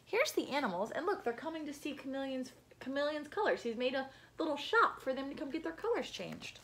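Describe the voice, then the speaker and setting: Young woman, higher-pitched voice, lively swooping intonation, children's read-aloud, explanatory asides. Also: brisk, a little hiss or hum. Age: young adult. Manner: animated, explanatory. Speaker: picture book reader. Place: small room at home.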